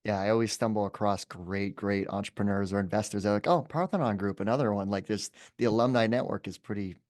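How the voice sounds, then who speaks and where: A man with a lowish voice hosts an interview podcast. He asks his questions in an upbeat, encouraging way, and his voice is recorded dry and close. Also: quick pace, a little mellow, no room sound. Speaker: podcast host.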